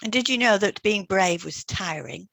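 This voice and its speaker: A woman's voice: mid-range voice, normal speed, creaky, a bit bright, dry recording. A woman co-hosting an educational podcast, reading scripted material in an explanatory way. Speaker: podcast co-host and hypnotherapist.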